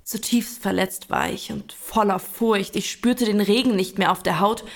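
A woman's voice: medium pitch, normal speed, vocal fry. A woman narrating a radio drama in first person, earnest and emotional, as a young pilgrim recalling events.

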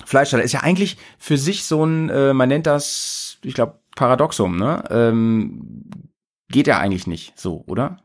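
A young man hosting a podcast in casual chat, slangy and joking, often pausing on fillers as he searches for words. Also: mid-range voice, lively intonation. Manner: slangy, hesitant, many fillers, jokey. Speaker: podcast host.

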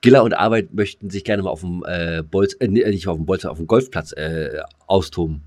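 A man's voice: low-pitched, a little fast, darkish tone. A man co-hosting a podcast in casual, slangy banter, rambling along and joking as he talks. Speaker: podcast co-host.

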